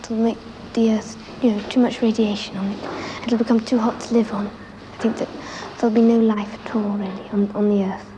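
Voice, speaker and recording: Even-toned child, noisy tape. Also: mid-range voice, brisk. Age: child or teenager. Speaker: young interviewee. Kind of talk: soft-spoken interview answer. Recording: noisy 1960s archival interview.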